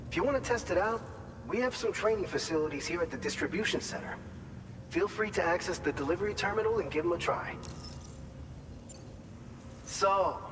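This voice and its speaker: Higher-voiced chatty staffer in reverberant hall. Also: male voice. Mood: reassuring. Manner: explanatory, informal, encouraging. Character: chatty, upbeat male distribution center staffer briefing a courier. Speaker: briefing officer. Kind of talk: video game cutscene dialogue. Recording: noisy ambience, long hall reverb.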